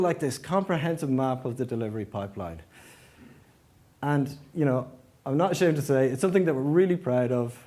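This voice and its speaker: A man's voice: medium pitch, dark tone. A man giving a prepared presentation as an engineering manager, explaining step by step and posing rhetorical questions. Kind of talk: prepared conference-style presentation.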